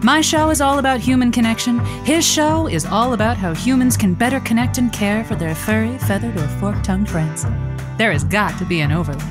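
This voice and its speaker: A woman's voice: on the high side, brisk, lightly acted. Flirty female late-night radio host played by an actress, teasing patter, prickly edge, audio drama, music bed. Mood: sultry, playful.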